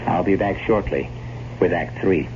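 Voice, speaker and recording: A man narrating as host of an old-time radio drama in an ominous, theatrical, deliberate style. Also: low voice, heavy background noise. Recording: muffled old radio recording. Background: loud music.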